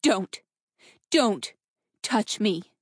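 A woman's voice: mid-range voice, animated, very slow, no echo. Audiobook role: female reader as betrayed wife, angry, wounded, accusing. Dry studio sound, mid-range voice. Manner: accusing, emotional, pleading for truth. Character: anguished angry wife confronting her husband. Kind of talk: audiobook character dialogue.

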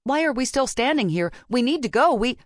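A woman's voice: fairly low pitch, animated, measured pace, no room sound, studio quality. A woman voicing an anxious but determined young female witch, the heroine of a fantasy audiobook. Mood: impatient. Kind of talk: audiobook character dialogue.

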